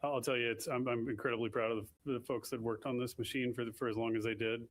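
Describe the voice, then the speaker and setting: A man answering questions at a press briefing as a launch official, quick and full of restarts. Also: mid-range voice, level tone.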